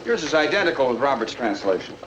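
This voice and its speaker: An authoritative navy admiral, played by a male actor in an old film drama. His mid-pitched voice is brisk, on a dull, faintly noisy soundtrack. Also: very animated, close to an everyday voice. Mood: baffled. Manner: brisk, commanding. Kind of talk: 1950s film acting.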